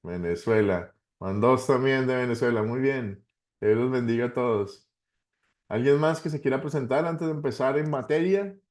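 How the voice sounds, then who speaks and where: A man's voice: mid-range voice, moderate pace, darkish tone. Colombian man hosting an online class. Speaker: pastor teaching an online Bible class. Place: online video call.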